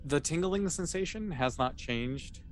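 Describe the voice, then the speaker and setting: A man's voice: a little high, expressive. Informal male game master, noisy recording. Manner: informal, explanatory, halting with self-corrections. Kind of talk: improvised narration of a tabletop sci-fi game.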